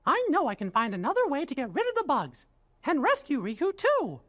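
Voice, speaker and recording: A man voicing a small cartoon mouse king in a video game, with a squeaky falsetto and an earnest, gentle, reassuring manner. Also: wildly swooping pitch, a little fast, full character voice.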